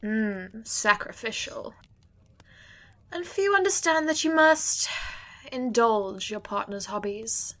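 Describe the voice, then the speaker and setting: A woman's voice: on the high side, expressive, takes it slowly, a bit bright, exaggerated voice. A woman playing a wry female interrogator with a dry, teasing way of talking.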